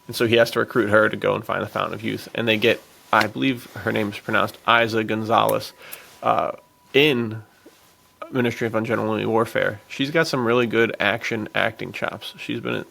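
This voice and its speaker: A man's voice: lowish voice, steady tone, normal speed, gravelly, pushed and bright. A man co-hosting a podcast, talking casually about films in loose, slangy language. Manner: informal slang, dude-heavy. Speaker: podcast co-host.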